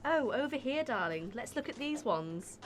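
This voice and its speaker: An actress playing a cheeky, quick-witted thief with a sugary act in a playful radio drama. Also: female voice, fairly high pitch, big pitch swings, a little hiss or hum. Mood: teasing, sugary. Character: cheeky, quick-witted female thief posing as sweet fiancée.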